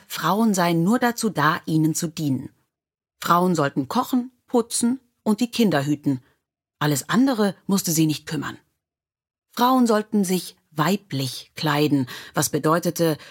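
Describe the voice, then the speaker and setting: A woman's voice: lowish voice, husky. A woman reading short biographical stories in a scripted storybook narration style. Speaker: audiobook narrator.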